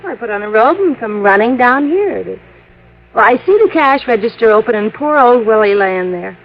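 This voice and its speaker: An actress playing a brassy, coy young widow in an old radio detective drama. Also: female voice, a little high, expressive, moderate pace. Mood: defensive. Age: young adult. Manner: breezy, coy, slangy. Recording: muffled old radio recording.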